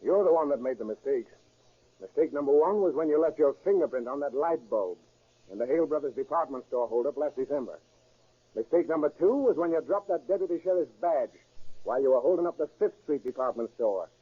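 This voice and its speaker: A man's voice: on the high side, animated, brisk, breathy edge. A man playing a brusque, hard-boiled police detective with an American accent in a 1930s radio drama, curt and commanding. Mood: accusing. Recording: muffled, worn 1930s radio recording.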